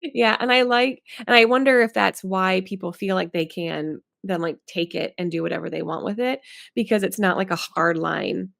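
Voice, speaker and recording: A woman's voice: medium pitch, normal speed, creaky, a bit bright, no room sound, clean studio sound. A woman chatting casually as a podcast co-host, with an informal, giggly manner full of filler words. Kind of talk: casual chat.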